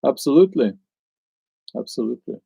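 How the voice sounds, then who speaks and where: A man's voice: medium pitch, lively intonation, brisk, vocal fry, mellow, breathy edge, no room sound, studio quality. A man with a Norwegian accent, chatting on a live stream and answering his viewers, hesitant and musing as he searches for words. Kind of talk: casual live stream chat.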